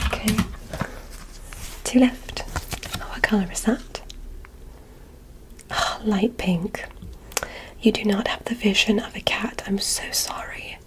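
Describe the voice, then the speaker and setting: A woman voicing a curious, polite alien examiner in a whispered ASMR roleplay, speaking in a soft, deliberate, clinical manner. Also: medium pitch, lightly acted, low-quality recording.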